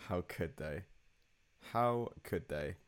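A man's voice: low-pitched, animated, takes it slowly. A young man hosting a podcast, rambling and self-mocking, laughing often in casual chat. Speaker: podcast host.

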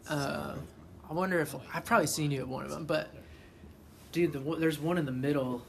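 Gravelly, higher-voiced man chatting casually. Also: a little fast, fairly bright tone. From Chicago, USA. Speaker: podcast guest. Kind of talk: casual conversational storytelling.

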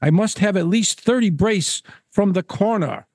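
A man voicing a presumptuous, grumbling older gentleman angler in a spoken fishing fable. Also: high voice, lively intonation, normal speed, no room sound, clean studio sound, pitched above the performer's own voice. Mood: exasperated. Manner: pompous, grumbling. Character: presumptuous old fat-cat angler, blustering and exasperated. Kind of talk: acted storytelling of a fishing fable.

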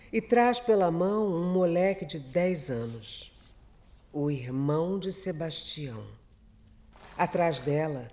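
A man narrating a scripted radio drama in a deliberate, dramatic way. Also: sits high, darkish tone.

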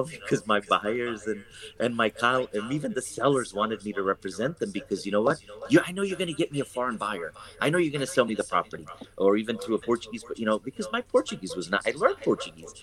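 A man's voice: on the low side, fairly bright tone, poor audio. A man who is a real estate broker talking casually in an interview, rambling with many fillers and restarts. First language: English.

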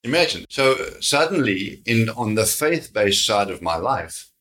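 A man's voice: lowish voice, sing-song, a little slow, fairly bright tone. A middle-aged South African man whose first language is Afrikaans, telling his life story as an interview guest in an earnest way, often repeating words. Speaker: guest, security company founder.

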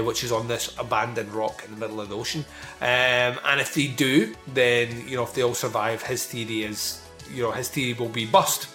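A man talks through a film review in a loose, rambling way, with frequent fillers and the occasional curse. His voice sits in a roomy space with a clear echo. Faint music plays underneath. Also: medium pitch, a bit bright. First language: not Italian. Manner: rambling, with many fillers and swearing. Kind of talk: casual film review talk.

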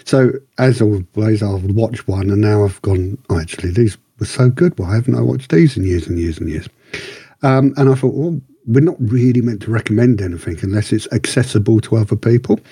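A man's voice: on the low side, fairly quick, warm tone, husky, dry recording. A man co-hosting a podcast, chatting casually and rambling a little, repeating words for emphasis. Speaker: podcast co-host. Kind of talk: casual review chat.

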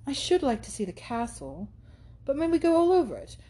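A woman's voice: high voice, big pitch swings, brisk, warm tone, voice pushed up. A woman reading aloud and voicing an eager, hesitant young heroine who asks earnest, anxious questions.

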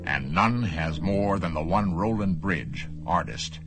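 A man's voice: low voice, animated, slow, full character voice. A man playing an ominous, ponderous storyteller introducing a radio drama. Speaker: narrator. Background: music.

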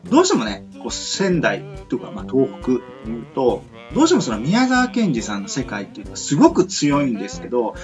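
A male radio host from Kyushu talks in a very animated, swinging voice over faint music. He is polite and wordy, feeling his way through long casual sentences. The sound is a bit dull and lo-fi. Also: medium pitch. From Kyushu, southern Japan. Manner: polite, wordy, halting build-up to questions. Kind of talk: casual chat interview. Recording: muffled lo-fi audio with music behind. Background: background music.

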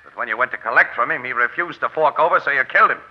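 A man acting a sharp male amateur detective, very quick speech, clipped questions, vintage radio drama, muffled broadcast. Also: medium pitch, lightly acted. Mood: urgent, accusing. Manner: clipped, pressing. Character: sharp, wisecracking male crime sleuth. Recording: dull, band-limited old radio broadcast.

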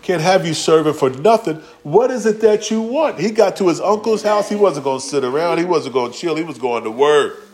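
Homem pregando com gírias descontraídas. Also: male voice, fairly high pitch, a little fast, a little mellow. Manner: casual, slangy, acts out quotes. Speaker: pastor. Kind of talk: conversational sermon.

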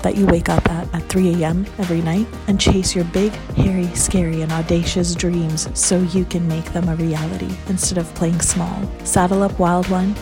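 A woman hosting a podcast, speaking in an enthusiastic, uplifting, motivational way. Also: on the low side. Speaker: podcast host. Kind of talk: scripted podcast intro, then casual interview chat.

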